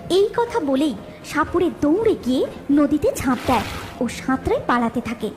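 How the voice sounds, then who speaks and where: High-pitched woman storyteller. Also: big pitch swings, moderate pace, a little mellow, soft background music. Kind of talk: scripted narration of a fairy tale cartoon.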